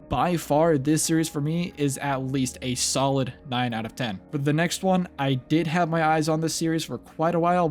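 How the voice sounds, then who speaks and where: Young guy rambling thru a review video, kinda loose and chatty, soft music under it. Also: mid-range voice. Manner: chatty, loosely scripted, occasional stumbles. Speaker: YouTuber reviewing horror series. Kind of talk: casual video essay commentary.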